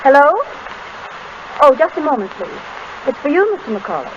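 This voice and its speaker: A witty, curious wife from an old radio play. Her voice is high and very lively in its swings, affectionate in manner. The old recording has audible noise behind her. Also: normal speed, highs cut off. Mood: bright, polite. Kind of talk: 1930s radio drama acting.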